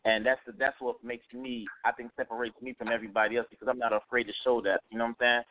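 A young male rapper from Miami, chatting casually in an interview and circling his points with fillers and restarts. His voice sits at an ordinary pitch. The sound is narrow and phone-like, with no room around it. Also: flat delivery, fast, no echo. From Miami, Florida. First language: Haitian Creole heritage speaker. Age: young adult. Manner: loose, repetitive, full of fillers and restarts.

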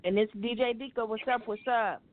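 A woman's voice: fairly high pitch, steady tone, a little fast, dry recording. A woman hosting a casual radio talk show, loose and joking as she steers the segments. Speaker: radio host. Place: radio studio. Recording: tinny phone-like radio audio.

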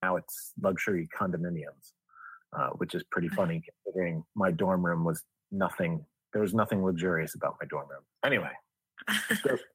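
A man with a fairly low, husky voice co-hosts a podcast. He spins an anecdote conversationally, laying it out step by step. The sound is dry and close. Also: brisk, fairly bright tone, dry recording, low-quality recording. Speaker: podcast co-host. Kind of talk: casual anecdotal storytelling.

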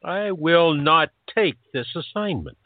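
A man's voice: on the high side, lively intonation, takes it slowly, dry recording. A man playing a hot-tempered, confrontational rival in a radio play, speaking emphatically. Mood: indignant. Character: tall, indignant, hot-tempered male rival. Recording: thin, phone-like audio.

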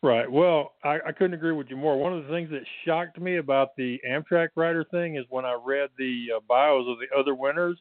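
A man's voice: fairly high pitch, fairly quick, hardly any pauses, no echo. A man from Texas with a Texan accent, a guest author chatting casually from his bedroom, rambling with fillers and restarts. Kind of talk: casual interview. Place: bedroom at home. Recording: tinny phone line.

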